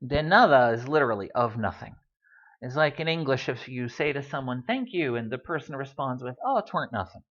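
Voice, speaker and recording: A man's voice: medium pitch, animated, brisk, fairly bright tone, highs cut off. A man giving a casual Spanish lesson, full of wry asides and ums. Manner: chatty, wry asides, many ums and uhs. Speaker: Spanish teacher.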